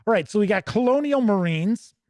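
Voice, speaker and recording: Higher-voiced man, casual rambling gaming host. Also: animated, brisk, darkish tone, dry recording. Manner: rambling, thinking aloud, trailing into asides. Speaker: host of a tabletop gaming review show. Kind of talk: casual live commentary while paging through a rulebook.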